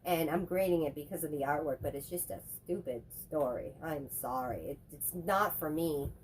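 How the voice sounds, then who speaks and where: A woman co-hosting a relaxed movie chat while fighting a head cold, her voice stuffy and congested. She rambles and jokes as she goes, never sticking to one point for long. A faint hum of noise sits behind her. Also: sits low, low-quality recording. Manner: rambling, chatty, quick to joke. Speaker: co-host. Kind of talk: casual chat reviewing movies.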